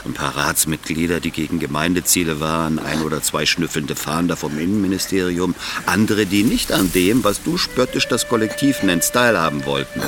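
An actor playing a smug, menacing Soviet party boss in a German radio drama, with a mocking, condescending and unhurried delivery. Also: male voice, low-pitched, noisy background, lightly acted. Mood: scornful, sly.

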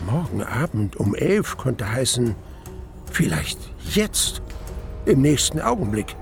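An actor playing a grave man who recounts mortal terror, narrating a radio play deliberately and with weighted pauses. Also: mid-range voice, big pitch swings, gravelly, faint music behind, heavily acted. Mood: dread. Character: grave male narrator recalling his mortal terror in a cellar. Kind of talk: dramatic first-person radio play narration.

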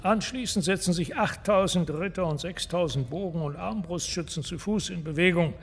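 A proud French war leader in a radio play, declaiming haughtily. The voice is fairly high but creaky and rough, set in a long, echoing hall. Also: male voice, moderate pace. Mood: proud, confident. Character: haughty French military leader, contemptuous of the enemy. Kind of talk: radio drama acting, military briefing. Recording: long hall reverb, faint music behind.

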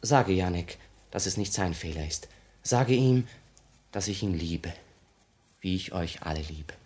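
An actor playing a despairing, ashamed young revolutionary in a 1950 radio play, with halting, intense theatrical delivery. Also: male voice, low voice, lively intonation, a little mellow. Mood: sorrowful farewell. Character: despairing, ashamed young male revolutionary losing his nerve.

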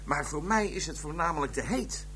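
A man's voice: fairly high pitch, lively intonation, a little fast, sharp tone, a little hiss or hum. An actor playing a jovial, affectionate policeman abroad in a radio crime drama, chatty and hearty. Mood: jovial, grateful.

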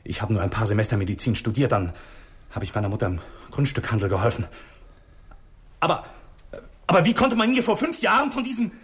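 An actor playing a frightened, sickly doctor who halts and repeats himself, in a 1960s German radio drama. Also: male voice, mid-range voice, sing-song, fairly bright tone, slightly rough, heavily acted. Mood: anxious confiding. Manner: halting, repeating words. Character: frightened, sickly bourgeois doctor, self-pitying and indignant.

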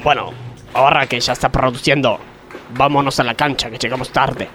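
Guy playing a cheeky argentine factory worker, deadpan, mid voice, bit of noise behind. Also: mid-range voice, brisk, natural voice. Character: cheeky, literal-minded male factory worker. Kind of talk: comedic radio theatre acting.

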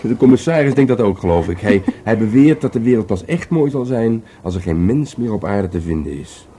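An actor playing a wry, reflective police detective in a Dutch radio drama, speaking hesitantly and intimately. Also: male voice, on the low side, expressive, a little fast, mellow, a little hiss or hum, natural voice. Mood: musing.

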